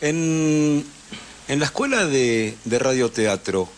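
An Argentine man hosts a casual radio interview in a Rioplatense accent. His voice sits at a middle pitch, and his delivery is halting as he searches for the next word. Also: expressive. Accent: Argentine Rioplatense Spanish. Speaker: radio host.

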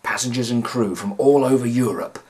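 A male narrator voicing a cheerful, eccentric professor with a brisk, chirpy manner. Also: mid-range voice, darkish tone, lightly acted. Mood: thoughtful.

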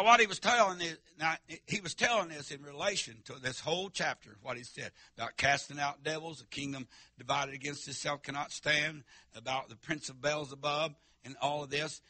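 A man preaching a fiery holiness sermon, with stammering restarts and shouts to the congregation. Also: fairly high pitch, dry recording. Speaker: pastor. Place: church sanctuary.

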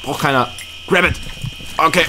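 A young man co-hosting a gaming video, bantering casually with excitable yelling and laughter. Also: on the high side, normal speed, heavy background noise. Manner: excitable, yelling and laughing, silly English asides. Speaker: gaming channel co-host. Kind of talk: casual let's-play banter. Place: small room at home, seen on webcam.

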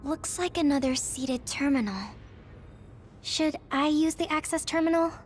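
High-voiced caring anime girl soldier. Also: steady tone, a little slow, slight background noise. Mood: concerned. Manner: earnest, concerned. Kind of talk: English-dubbed anime game acting. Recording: voice over loud music score.

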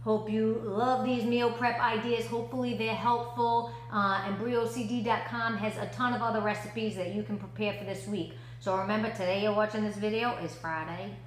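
A woman's voice: medium pitch, fairly even intonation, moderate pace, few pauses. Chatty woman in echoey living room. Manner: chatty, upbeat, many "you know" fillers. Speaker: lifestyle vlogger. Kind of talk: casual chat to camera. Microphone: camera at desk distance. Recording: echoey room with faint hum.

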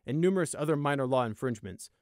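A man's voice: medium pitch, expressive, fairly quick, a little mellow, dry recording, studio quality, close to an everyday voice. A man voicing a stern male unicorn guard with a firm, scornful, clipped delivery in a storybook reading. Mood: stern recital. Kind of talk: audiobook character acting.

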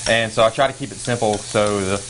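A young firefighter and paramedic chatting easily while cooking on a TV studio kitchen set. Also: male voice, on the low side, steady tone, fast talker. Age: young adult. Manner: easygoing, full of fillers, talks while stirring a pan. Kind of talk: casual chat while cooking. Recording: clear background noise, sizzling pan.

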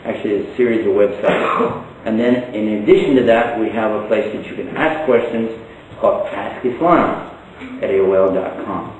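A man's voice: lowish voice, moderate pace, slight background noise. An older man from Texas with a Texan accent, giving a folksy religious lecture with joking asides. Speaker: Islamic preacher. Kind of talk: public lecture. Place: large hall, indoors. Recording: echoey hall from a distance.

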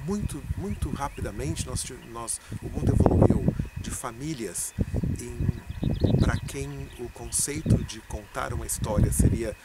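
Middle-aged man, distant mic in loud outdoor noise. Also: mid-range voice, measured pace. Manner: conversational, with frequent check-in tags. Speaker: storytelling consultant answering questions. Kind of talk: informal spoken answer to camera. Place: outdoors in open countryside by a field.

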